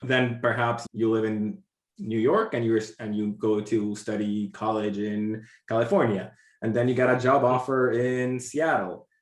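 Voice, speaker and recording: A man's voice: on the low side, measured pace. Man talking in an echoey room. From Argentina. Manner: relaxed, rambling with many "like" fillers and restarts. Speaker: interview guest, brand designer. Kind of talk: casual interview conversation.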